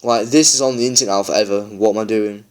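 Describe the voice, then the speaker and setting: Man, tired croaky voice, rambling casual gaming chat, slightly low pitch. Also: fairly even intonation, quick pace. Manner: rambling, chatty, many fillers. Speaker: gaming YouTuber.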